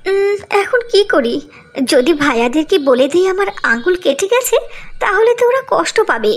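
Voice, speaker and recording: A woman voicing a gentle, devoted young village girl in a cartoon, speaking softly and anxiously. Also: very high voice, big pitch swings, moderate pace, exaggerated voice. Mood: worried. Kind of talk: Bengali cartoon dubbing.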